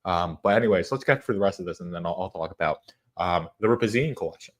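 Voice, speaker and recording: Stuffy-nosed guy with a lowish voice rambling on his stream, lots of um and uh. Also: expressive, quick pace, background noise. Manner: rambling, frequent restarts and fillers. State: congested from a cold. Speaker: livestream host. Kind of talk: casual livestream chat.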